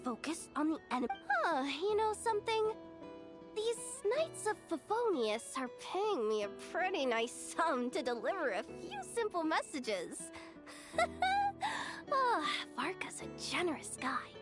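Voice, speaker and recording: A woman's voice: high-pitched, sing-song, measured pace, music in the background. A woman voicing a young, cheerful mercenary messenger in a video game, with a breezy, playful manner. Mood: cheerful, teasing.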